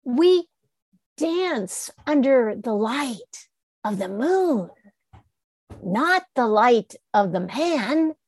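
A woman voicing a curious, kind little male rabbit, speaking earnestly and gently insisting. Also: a little high, sing-song, very slow, no room sound, voice pushed up, exaggerated voice.

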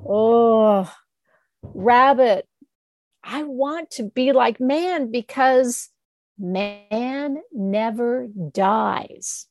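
A woman voicing a sad male moon spirit who is crying, speaking slowly and mournfully. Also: on the high side, darkish tone, no echo. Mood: longing.

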